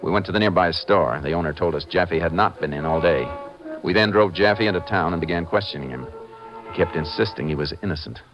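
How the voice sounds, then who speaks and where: Radio-drama lawman: very low, calm and shrewd, clipped delivery, dulled vintage sound. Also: brisk. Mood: matter-of-fact narration. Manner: terse, authoritative, matter-of-fact. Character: calm, shrewd, steely male Texas Ranger on a manhunt. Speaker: lead actor and first-person narrator. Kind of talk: 1950s radio drama acting, clipped detective narration. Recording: muffled old 1950s radio recording, music behind.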